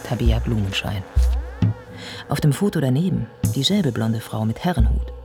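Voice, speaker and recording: A male actor is a detached, observant narrator of a writer's life in a radio play. The voice crackles with fry as it lays out details in short phrases. Faint music runs behind it. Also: mid-range voice, expressive, moderate pace, close to an everyday voice. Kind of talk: scripted radio drama narration.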